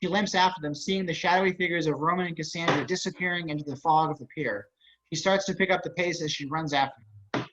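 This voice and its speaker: Male stage-directions reader, slightly high voice, scripted narration, lo-fi online call sound. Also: fast, background noise. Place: indoors, on a video call. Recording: dull video-call audio.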